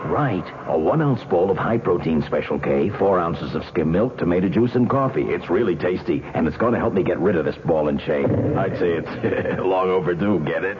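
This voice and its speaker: A man's voice: deep voice, lively intonation, moderate pace, few pauses. A man playing a hapless, jokey library patron in a 1970s radio commercial sketch. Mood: earnest, jokey. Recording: tinny old radio, faint noise.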